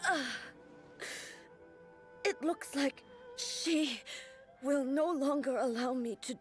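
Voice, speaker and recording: An actress playing a solemn, regal warrior ruler in a video game, speaking in a formal, grave, measured way. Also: female voice, high-pitched, expressive, faint music behind. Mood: grave, strained.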